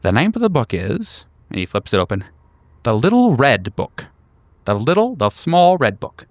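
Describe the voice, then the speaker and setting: A man's voice: medium pitch, very animated, moderate pace, no echo, voice pushed up. A man voicing an eager, flighty bachelor writer who hesitates and repeats himself as he fusses over words. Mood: nervous, eager.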